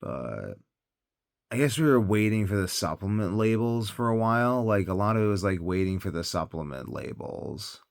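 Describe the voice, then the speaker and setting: Deep-voiced, tired man rambling casually. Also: sing-song, moderate pace, vocal fry, no echo, clean studio sound. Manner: hesitant, thinking aloud, often trailing off. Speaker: health vlogger.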